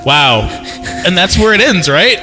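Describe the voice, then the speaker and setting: Crude, animated man with higher-pitched voice. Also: measured pace, soft background music. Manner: crude, riffing humour. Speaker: podcast panelist. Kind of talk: casual joking banter.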